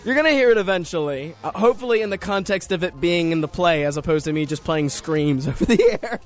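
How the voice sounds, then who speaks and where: A man's voice: high-pitched, lively intonation, fast talker. A young man hosting a radio show solo, chatting casually in a rambling, jokey, self-deprecating way over music. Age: young adult. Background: music under the voice.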